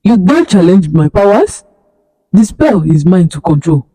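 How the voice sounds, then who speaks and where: A woman voicing a malicious dark spirit that taunts and threatens. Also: on the low side, sing-song, a little slow, slightly rough, exaggerated voice. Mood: menacing. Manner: menacing, taunting. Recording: long hall reverb.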